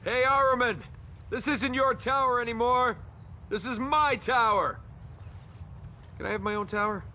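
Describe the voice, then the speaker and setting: A man's voice: very high voice, normal speed, bright, forceful tone, a little hiss or hum, full character voice. An actor playing a cocky, wisecracking young male adventurer in a video game, glib and sarcastic in his banter. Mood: cheeky, loud. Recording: constant game ambience behind the voice.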